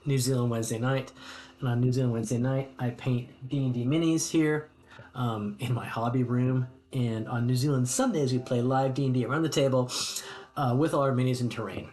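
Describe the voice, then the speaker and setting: A man's voice: mid-range voice, darkish tone. American guy rambling to his stream chat at home, lots of ums, friendly. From United States. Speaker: streamer host. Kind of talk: casual chat with live chat viewers. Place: home hobby room.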